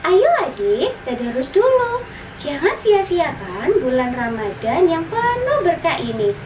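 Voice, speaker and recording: A woman puts on a young big sister from a storybook, high and sweet, patient and encouraging. She draws out her words slowly, in a roomy, noisy recording. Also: big pitch swings, distant mic.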